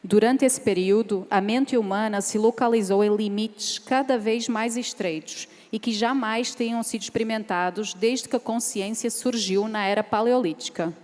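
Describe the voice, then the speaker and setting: A woman from Portugal, speaking on a seminar panel and reading a passage aloud in a measured, careful way. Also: a little high, steady tone, a little mellow. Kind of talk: seminar talk with a read passage. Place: large event hall. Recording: reverberant hall.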